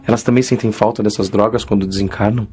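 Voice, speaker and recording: A man playing a remorseful young spirit, a recovered addict, in an earnest, measured dramatized audiobook. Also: on the low side, steady tone, a little mellow, husky. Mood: earnest, curious.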